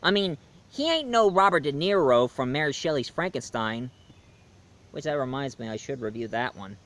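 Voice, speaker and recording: A man's voice: mid-range voice, animated, normal speed, fairly bright tone. A man giving a casual spoken film review, chatty and jokey as he retells the plot.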